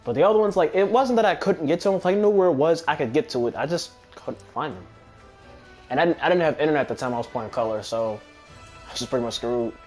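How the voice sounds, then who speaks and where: A man's voice: fairly high pitch, lively intonation, fast, a little mellow. A man giving casual, rambling gameplay commentary and swearing freely. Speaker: gamer commentating on own play. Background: video game music.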